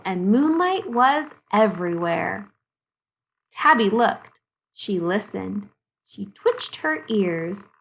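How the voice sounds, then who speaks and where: A woman teacher reading a storybook aloud to second graders in an expressive, deliberate way, with dramatic pauses. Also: medium pitch, rough recording. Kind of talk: storybook read-aloud for young children.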